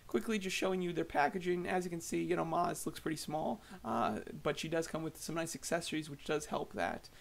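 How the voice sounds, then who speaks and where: A slightly hoarse man giving casual, rambling voice-over thoughts on collectible figures, with many fillers and restarts. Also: fairly high pitch, brisk, few pauses. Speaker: toy collector vlogger.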